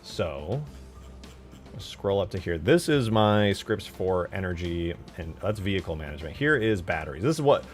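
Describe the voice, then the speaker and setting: A low-pitched man talks through his work on a game mod for a live audience. He speaks casually and with slang, thinking out loud as he goes. Music plays clearly behind his voice. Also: animated, moderate pace. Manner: thinks aloud, informal slangy talk. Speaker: game modder streaming. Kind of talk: casual livestream commentary while building, answering chat.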